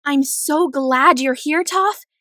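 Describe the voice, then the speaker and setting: Young cartoon girl played by a woman, high-pitched, bright, earnest and insistent. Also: takes it slowly, no echo, clean studio sound, full character voice. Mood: concerned. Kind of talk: animated-style comic dub acting.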